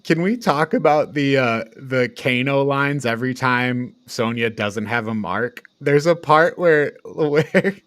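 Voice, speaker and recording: A man's voice: mid-range voice, slow, hardly any pauses, a bit bright, dry recording. A man co-hosting a podcast, retelling a film in casual, rambling, sweary chat with frequent chuckles. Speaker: podcast co-host.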